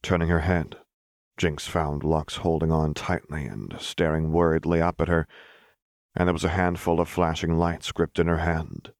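A man with a low voice narrates dramatic fiction. His storytelling is measured and expressive, and the recording is close and dry, with no room sound. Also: no echo. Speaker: audiobook narrator.

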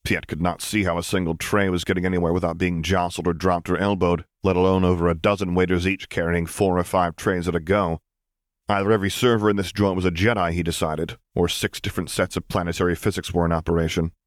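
A man's voice: low voice, little pitch movement, fast, dry recording, studio quality. A man reading a story aloud as scripted audiobook narration.

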